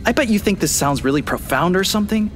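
A man's voice: high-pitched, animated, fast. A man playing an earnest, dim, self-serious young ninja assassin in an anime parody dub. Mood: brooding. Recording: long reverb, faint music.

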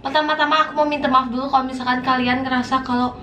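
A hoarse young woman in her twenties talks through a makeup review in a chatty, gushing way, her voice sitting a little high. She records to camera in a small room that sounds echoey, with a faint hiss underneath. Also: little pitch movement, fast, pushed and bright. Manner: chatty, gushing, full of fillers. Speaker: beauty vlogger. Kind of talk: casual product review. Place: small indoor room, plain wall.

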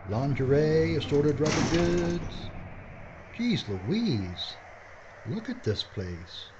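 A man's voice: fairly high pitch, sing-song, drawn-out words, exaggerated voice. An actor, a man, playing a blunt, sarcastic, skeptical crew member in an audio drama. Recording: muffled, noisy background ambience.

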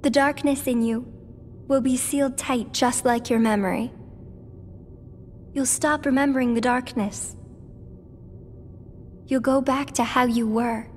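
A solemn teenage girl from a video game, high-voiced and gentle. She speaks slowly and carefully. Her voice carries a long, hall-like reverb. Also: fairly even intonation. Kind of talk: soft video game cutscene acting. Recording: reverberant hall, faint background noise.

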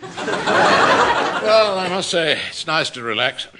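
Bumbling, deferential British office man, very animated and bright-voiced, for an old radio sitcom. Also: fairly high pitch, slow pace, exaggerated voice. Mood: pleasantly excited. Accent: British English. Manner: fussy, genteel, stammering deference. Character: genteel, bumbling middle-aged male civil servant, eager to please. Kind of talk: 1960s radio situation comedy acting. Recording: slightly noisy vintage radio recording.

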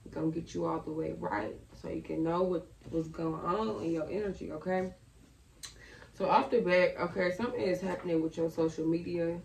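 A young woman with a slightly raspy, low voice chats through a tarot reading in a loose, slangy way. Her delivery stays fairly flat. The sound is roomy and picked up from a little way off. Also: normal speed, slight background noise. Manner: chatty, slangy, thinking aloud. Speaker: tarot reader. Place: bedroom at a table. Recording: roomy, a bit far from the mic.

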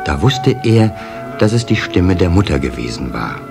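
Male narrator, very deep voice, expressive melodic range, children's story, music behind. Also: moderate pace.

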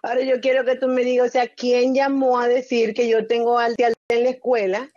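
A woman's voice: a little high, level tone, brisk. A woman in a heated phone conversation, defiant while using pet names for the caller. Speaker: prank call target. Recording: noisy recorded phone call.

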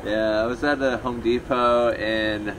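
A man who is a comedian guest, chatting casually and deadpan, with self-mocking asides, in a backyard interview. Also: medium pitch, a little slow, a little hiss or hum. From Seattle area. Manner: deadpan, self-mocking, slips into quoted voices. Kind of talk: casual chat. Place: backyard, outdoors.

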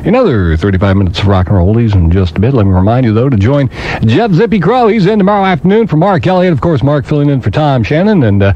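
A man's voice: medium pitch, big pitch swings, fast, mellow. A man working as a radio disc jockey, delivering oldies-station patter with time checks, weather and requests. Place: radio studio. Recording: muffled, noisy old radio aircheck.

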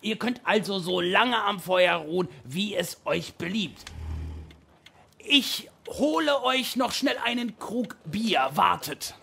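An actor playing a shrivelled, eager old manservant in a radio play, deferential and quirky, with a husky, creaky voice. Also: male voice, sits high, lively intonation, slow pace, pushed and bright, exaggerated voice. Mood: reassuring. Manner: deferential, old-fashioned formal address. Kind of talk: German radio play acting.

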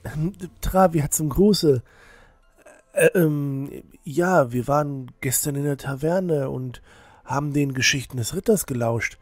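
A man's voice: on the high side, unhurried, a little mellow, dry recording, soft background music. A man playing an uneasy, awkward teenage temple boy in a fantasy audio drama, hesitant and evasive. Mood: nervous, evasive.

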